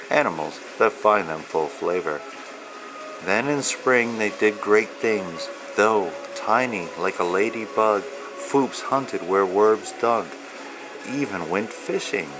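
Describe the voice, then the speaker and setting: A man, an author, presenting and reading a children's storybook in deliberate, measured phrases. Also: lowish voice, background noise. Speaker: author and illustrator reading own children's book. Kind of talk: read-aloud storytelling of rhyming children's book.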